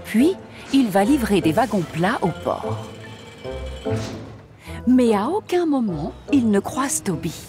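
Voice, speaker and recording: Lively woman storybook narrator. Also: a little high, slow, pauses often. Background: music.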